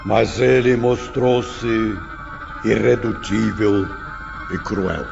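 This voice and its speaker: A man's voice: on the low side, drawn-out words, vocal fry, full character voice. An actor playing a grave spiritual teacher, solemn and didactic as he lectures pupils in an audio drama. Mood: solemn warning. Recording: dull lo-fi, music behind.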